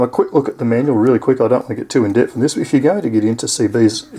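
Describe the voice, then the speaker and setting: A man's voice: on the low side, dark tone, slight background noise. An Australian man giving a casual, explanatory hands-on product review in an informal way.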